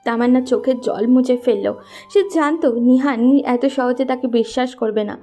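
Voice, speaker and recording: Mellow high-voiced woman narrator. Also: moderate pace, faint music behind. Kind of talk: scripted romantic story narration.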